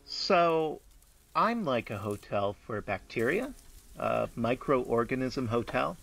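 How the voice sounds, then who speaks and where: Radio play: a patient, tactful straight man, natural and understated, with some fry in the voice. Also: mid-range voice, expressive, takes it slowly, fairly bright tone, heavily acted. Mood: mildly amused. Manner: hesitant, apologetic, understated. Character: gentle, tactful man patiently humoring a talking building.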